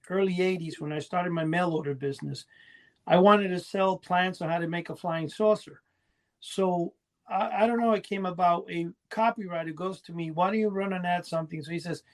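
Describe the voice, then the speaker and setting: Older man with a high-pitched voice. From Cuba. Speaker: podcast host. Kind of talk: casual conversational storytelling.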